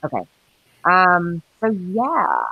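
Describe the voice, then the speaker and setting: A woman's voice: fairly low pitch, huge pitch swings, drawn-out words, dry recording, narrow, tinny audio. A middle-aged woman from New York hosting a livestream, chatting casually, rambling between topics and reading out the chat with frequent laughs. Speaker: livestream host.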